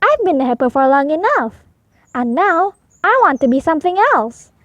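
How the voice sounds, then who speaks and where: Storybook hippo child, voiced by a woman: male, high-pitched, swooping, curious, stubborn, polite, emphatic. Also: big pitch swings, moderate pace, a bit bright, no echo, lo-fi sound. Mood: determined.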